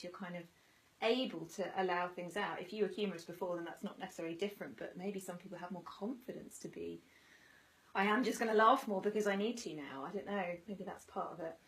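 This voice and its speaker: A woman's voice: on the low side, quick pace, fairly bright tone, poor audio. A woman interviewing a guest, musing thoughtfully and correcting herself as she talks. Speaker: interviewer, cancer survivor. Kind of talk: casual reflective interview.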